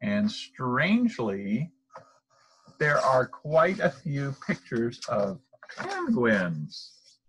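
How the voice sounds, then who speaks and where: A middle-aged man reading a children's picture book aloud in a deliberate, expressive storytelling manner, recorded outdoors. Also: mid-range voice, a little hiss or hum, rough recording. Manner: deliberate, expressive delivery for young listeners. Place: outdoors, in an open wooded park.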